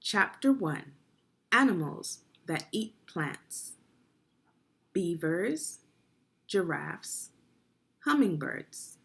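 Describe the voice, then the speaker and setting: A woman with a slightly low, husky voice reads a nonfiction book aloud for children. She speaks slowly and deliberately, like a teacher guiding young learners, with lively rises and falls in pitch. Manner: deliberate, clearly phrased, guiding young listeners with questions. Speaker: teacher narrating a children's read-along video.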